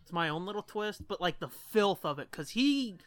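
A man's voice: high-pitched, expressive, moderate pace, clean tone, no room sound. A man co-hosting a podcast in casual chat, joking darkly and swearing freely. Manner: irreverent, foul-mouthed, dark joking. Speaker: podcast co-host.

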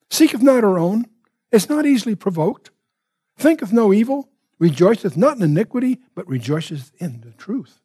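A man teaching from the Bible in an expository style, with emphatic, deliberate phrasing and off-the-cuff asides. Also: very high voice, darkish tone, husky, dry recording.

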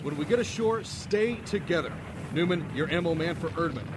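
A man's voice: fairly high pitch, expressive, a little fast, lightly acted. A man playing a tough, battle-hardened Marine sergeant giving orders in a radio war drama. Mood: firm briefing. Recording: noisy, gunfire and battle sounds behind.

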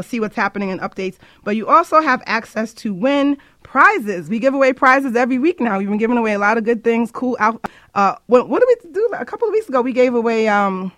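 A woman's voice: a little high, fairly bright tone, no room sound. A woman hosting an informal internet radio show, chatting to listeners in an upbeat, emphatic way.

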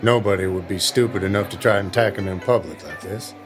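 Low, wry bodyguard played by a man. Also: fast talker, over music, close to an everyday voice. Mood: offhand, unconcerned. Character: casual, wry presidential bodyguard off drinking whiskey. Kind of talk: period drama acting.

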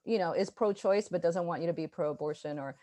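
Ny woman chatting on a video call, animated, lots of laughs, lowish voice. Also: a little fast. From New York, USA. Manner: animated, laughing often, restarts. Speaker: guest artist. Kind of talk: casual interview chat.

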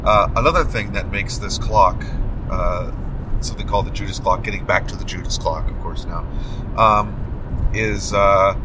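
Low-voiced man, distant in a noisy car. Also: moderate pace. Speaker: podcast co-host. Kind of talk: casual chat about old radio stories. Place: inside a moving car on a road trip. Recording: distant mic, loud car rumble. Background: road and engine rumble.

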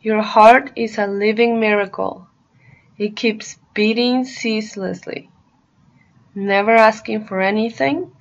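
Slow-paced woman guiding a meditation. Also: medium pitch, creaky, lo-fi sound. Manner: soothing, deliberate, pausing between short phrases. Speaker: meditation guide. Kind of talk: guided meditation narration.

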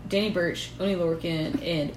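A woman's voice: fairly low pitch, normal speed. A woman co-hosting a podcast, chatting in a rambling, giggly way with plenty of fillers. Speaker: podcast co-host. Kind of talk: casual chat about wrestling. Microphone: shared recorder. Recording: echoey room with background noise.

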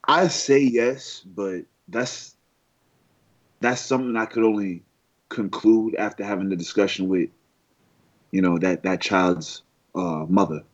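Low-voiced man, earnest and halting. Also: muffled recording. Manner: earnest, halting, restarts often. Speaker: podcast co-host. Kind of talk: casual chat.

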